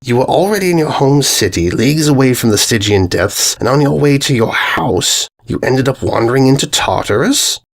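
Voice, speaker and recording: A man voicing a menacing giant centaur villain in a storybook, with a raspy voice and a grandiose, theatrical, exasperated manner. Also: mid-range voice, animated, moderate pace, hardly any pauses, pitched above the performer's own voice. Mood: puzzled, bargaining. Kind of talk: storybook narration character voice.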